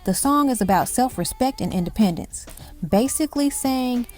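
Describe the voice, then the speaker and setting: A woman's voice: fairly high pitch, lively intonation, moderate pace, darkish tone. A woman reading scripted music countdown narration, telling it like an evocative story in short dramatic phrases.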